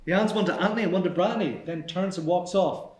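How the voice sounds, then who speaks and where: Man narrating screenplay directions live; higher than average voice, roomy theatre acoustic. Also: fast talker, background noise. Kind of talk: scripted narration at live table read. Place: theatre stage.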